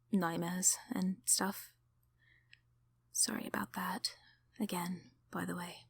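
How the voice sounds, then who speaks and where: A woman playing a gentle, apologetic sleep paralysis demon in an ASMR roleplay, soft-spoken and often whispering reassurance. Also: mid-range voice, husky, no echo. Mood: gentle, slightly sheepish. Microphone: close microphone.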